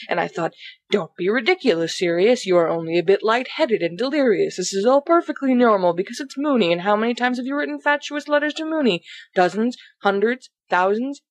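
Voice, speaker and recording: A woman playing an excitable young man, reading his letter aloud in a rambling, sardonic, dramatic manner. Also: on the low side, no room sound, close to an everyday voice. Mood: self-mocking.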